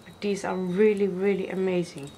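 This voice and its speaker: Young woman, slow and hoarse, gravelly, gushing over gifts; camera mic at a table, faint room noise. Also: fairly low pitch, flat delivery, dark tone. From Netherlands. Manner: gushing, slow, admiring, repeats praise. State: hoarse from illness. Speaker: crafter showing gifts. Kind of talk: casual unboxing vlog. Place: indoors at a wooden table.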